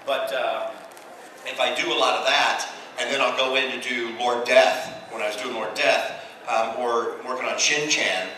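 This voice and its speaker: A man's voice: medium pitch, normal speed. Echoey American man on a panel. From United States. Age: middle-aged. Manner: animated storyteller, acting out quoted exchanges. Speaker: voice actor, panel guest. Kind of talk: casual anecdotes at a convention panel. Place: large convention hall, on stage. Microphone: handheld mic through PA, filmed from audience. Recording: echoey hall from far off, noisy.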